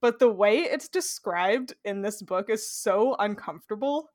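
A woman's voice: mid-range voice, measured pace, a little mellow. A woman chatting casually about a fantasy novel, giggling often and filling her talk with "like". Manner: giggly, chatty, lots of likes. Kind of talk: casual book-club chat.

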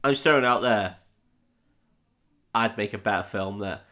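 A man's voice: on the low side, moderate pace, sharp tone. A man co-hosting a film podcast in casual chat, with a jokey, sweary manner.

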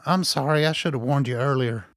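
A man's voice: medium pitch, brisk, dry recording, clean studio sound, lightly acted. An actor playing a worried, guarded male scientist in a radio play, speaking earnestly. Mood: uneasy.

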